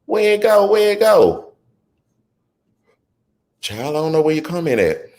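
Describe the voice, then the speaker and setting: A man in his thirties hosting a live political commentary stream, chatty and animated, reading viewer comments and riffing between stories. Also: on the high side, a little slow. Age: 30s. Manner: animated and conversational, drifts into chatty asides and laughs easily. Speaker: political talk show host.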